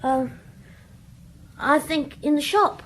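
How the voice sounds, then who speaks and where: A woman's voice: high-pitched, slow pace, low-quality recording. A woman playing an anxious, evasive village schoolboy in a radio drama, hesitant, naive and defensive. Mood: reluctant, anxious.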